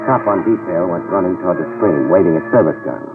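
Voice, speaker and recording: An actor playing a tough, sardonic private eye in a 1950s radio drama, trading dry wisecracks. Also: male voice, sits low, quick pace. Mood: hard-boiled. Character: wisecracking hard-boiled male private eye. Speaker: private investigator and narrator. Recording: tinny old radio broadcast with music, mic at a distance.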